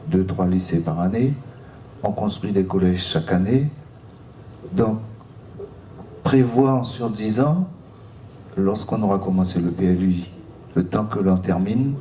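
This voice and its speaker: A man's voice: low-pitched, steady tone, a little slow, long pauses, dark tone. A man from French Guiana giving public remarks at a seminar as a local elected official. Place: seminar room, recorded from a distance. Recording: roomy, distant mic, faint noise.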